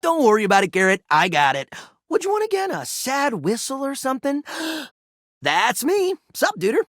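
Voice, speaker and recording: A goofy video game developer. His delivery is playful, casual and slangy, with extremely varied pitch in a close, dry recording. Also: wildly swooping pitch, moderate pace, a bit bright, no room sound, exaggerated voice. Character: goofy, good-natured male voice actor and game developer. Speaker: actor and game creator character.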